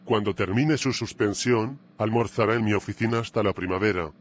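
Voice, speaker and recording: A man's voice: fairly low pitch, a little fast, lightly acted. A man voicing a furious cartoon principal. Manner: loud, scolding. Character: angry red-faced school principal.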